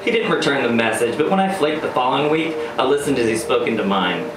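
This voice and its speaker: A man's voice: medium pitch, expressive, fast talker, background noise. Sardonic male spoken-word performer, roomy live sound. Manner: wry, sardonic, theatrical. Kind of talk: scripted first-person spoken-word storytelling, live. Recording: roomy live venue, distant mic, music. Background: electronic music beats.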